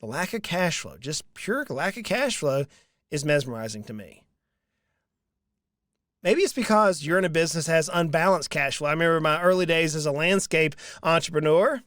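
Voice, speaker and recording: A man in his thirties talks through money advice, pitched fairly high for a man. He speaks earnestly and leans on repeated phrases for emphasis. His voice sits close on a studio mic with a dry, dead sound. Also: lively intonation, moderate pace, fairly bright tone, no echo. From Southern United States. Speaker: financial advisor hosting a show. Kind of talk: solo talk to camera. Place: small room with dark curtains. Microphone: large studio mic in front, headphones.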